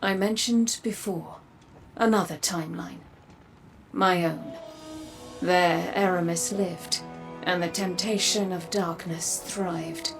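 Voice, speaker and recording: A woman playing a grave, cryptic seer in a video game, hushed and deliberate. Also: lowish voice, fairly even intonation, creaky, music in the background. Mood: grave, foreboding. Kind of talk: dramatic video game narration.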